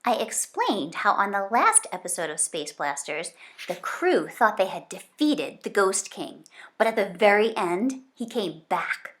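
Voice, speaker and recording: A woman reading a children's book aloud in an expressive storytelling style. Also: medium pitch, a little slow. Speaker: storybook reader.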